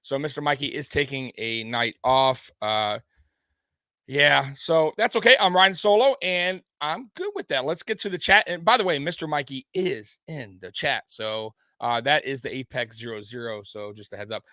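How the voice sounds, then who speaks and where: A man's voice: medium pitch, moderate pace, sharp tone, no echo, clean studio sound. A man hosting a casual sports recap show, talking loosely and rambling, reading from a live chat, a little tipsy. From Detroit area. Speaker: host.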